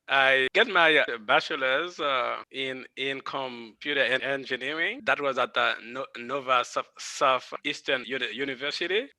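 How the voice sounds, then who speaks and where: Bright, tense man from Haiti. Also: medium pitch, no echo. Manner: stumbling, many restarts. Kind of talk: self-introduction.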